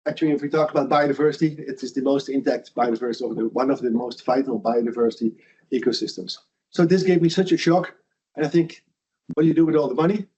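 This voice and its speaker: A man's voice: medium pitch, fairly even intonation, fast, warm tone, breathy edge. A middle-aged man from the Netherlands, a foundation founder giving a lunch lecture in an earnest, conversational way with frequent restarts. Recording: dull lo-fi sound.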